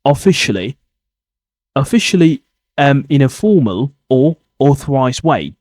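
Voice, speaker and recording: Slow, husky-voiced man teaching English. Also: mid-range voice, big pitch swings, darkish tone, no echo, studio quality. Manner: deliberate, repeats words and phrases. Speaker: English teacher podcast host. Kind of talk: reading a suspense story and explaining vocabulary for learners.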